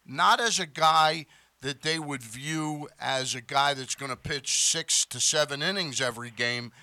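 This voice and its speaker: Bright-voiced man talking baseball on radio. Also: a little high, unhurried, no room sound. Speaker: sports radio show host. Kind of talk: casual baseball discussion.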